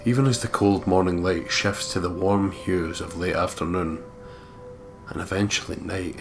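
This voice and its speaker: A man's voice: sits low, fairly even intonation, moderate pace, over music. A man reading a horror visual novel aloud as a dramatic, brooding first-person narrator. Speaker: let's player reading the game aloud.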